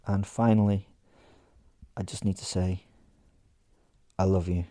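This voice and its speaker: Mellow man telling a wry tale. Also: deep voice, level tone, unhurried, no room sound, studio quality. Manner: wry, conversational asides. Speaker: narrator and podcast host. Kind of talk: first-person horror comedy storytelling.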